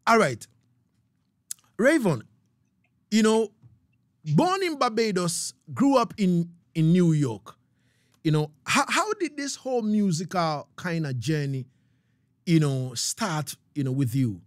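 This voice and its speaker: A man works as a radio interviewer. His voice sits a bit higher than most men's and sounds dry and close. He talks casually, repeating phrases and checking that he is being understood. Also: very animated, slow, long pauses, dry recording.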